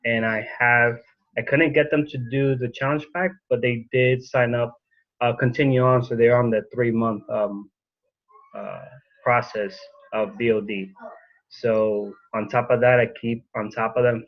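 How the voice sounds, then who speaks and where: A man who coaches fitness, sharing casually on a video call, halting with many ums and uhs. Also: medium pitch. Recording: dull lo-fi call audio.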